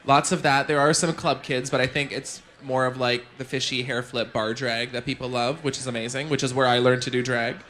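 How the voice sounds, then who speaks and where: Bright chatty Canadian drag performer. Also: male voice, medium pitch, little pitch movement, a little fast, few pauses, a little hiss or hum. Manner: chatty, fillers and self-corrections. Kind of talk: casual Q&A chat. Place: bar venue with live audience.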